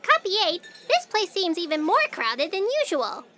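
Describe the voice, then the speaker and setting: A woman's voice: very high-pitched, huge pitch swings, moderate pace, sharp tone, soft background music, exaggerated voice. A woman playing a perky, eager, pleasure-loving young investigator in a comedic audio drama. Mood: inquisitive.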